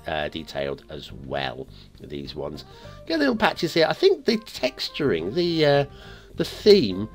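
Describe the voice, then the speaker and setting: A man with a wheezy, asthmatic breath, rambling through casual commentary on a game map. His voice rises and falls with a lively, animated pitch, and he keeps correcting himself mid-thought. Faint music plays softly behind him. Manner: rambling, chatty, self-correcting, joking asides. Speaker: host of a game map review show.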